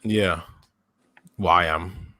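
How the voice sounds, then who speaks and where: A young man in his early twenties, a podcast host, calmly building his case in a casual football chat. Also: low voice, drawn-out words, a loud crowd. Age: young adult. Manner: thoughtful, builds an argument with hesitations.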